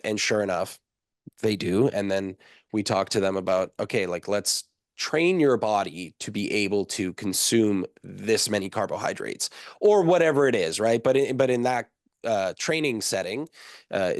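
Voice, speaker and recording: A young man with a fairly low voice talks casually as a podcast guest. He explains things quickly and loosely, full of fillers and asides. The recording is dry and close. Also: no echo. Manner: conversational, explanatory, fast-talking with fillers. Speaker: guest, nutritionist.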